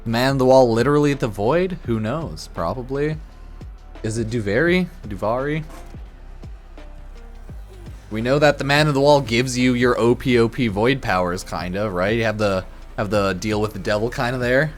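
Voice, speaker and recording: A man streaming casual, rambling commentary on a game, loose and halting in an informal, slangy, slightly tipsy way. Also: medium pitch. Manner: informal, crude slang and swearing. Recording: music behind the voice. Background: background music.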